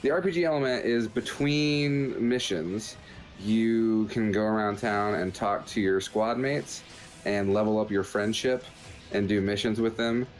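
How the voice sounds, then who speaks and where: Male podcast host, low register, loose and jokey game commentary with music clearly behind. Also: measured pace, rough recording. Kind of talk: casual commentary over gameplay footage.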